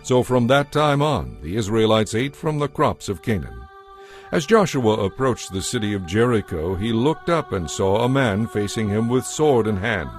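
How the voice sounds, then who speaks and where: Low-pitched man narrating scripture solemnly. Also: lively intonation, normal speed. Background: background music.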